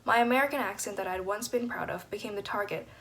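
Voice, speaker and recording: Fast-reading teenage girl, faint background noise. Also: medium pitch. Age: teens. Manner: fluent, steady reading. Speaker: student reading an essay. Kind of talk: reading a personal essay aloud.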